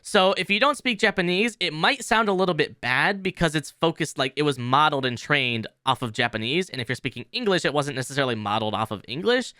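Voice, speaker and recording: A man with a fairly high, bright voice walks through a software tutorial casually. His pitch moves in a lively way, and his explanations are informal. The sound is clean and dry. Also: fast, hardly any pauses, clean tone, dry recording. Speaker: tutorial host.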